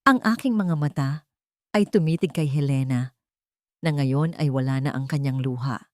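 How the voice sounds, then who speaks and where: A woman's voice: a bass voice, moderate pace, darkish tone, dry recording, studio quality. A woman reading a scripted dramatic story as narrator.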